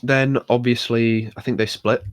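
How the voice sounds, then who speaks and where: A man's voice: mid-range voice, level tone, normal speed, dry recording, clean studio sound. A man co-hosting a podcast and chatting casually, rambling with many fillers and restarts. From United Kingdom. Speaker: podcast co-host. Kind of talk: casual chat.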